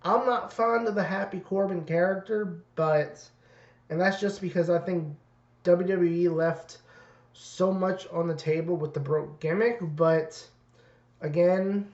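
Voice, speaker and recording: A man reviewing wrestling in a casual, rambling way, his voice raspy and worn. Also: sits high, steady tone, measured pace. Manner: rambling, many fillers and restarts. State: raspy voice from two nights of events. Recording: dull roomy lo-fi audio.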